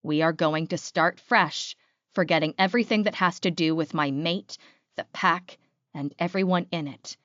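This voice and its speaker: A woman's voice: fairly low pitch, moderate pace, a bit bright, dry recording, muffled recording, lightly acted. A woman voicing a heartbroken, solemn male werewolf in an audiobook, speaking with resolve. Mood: solemn vow.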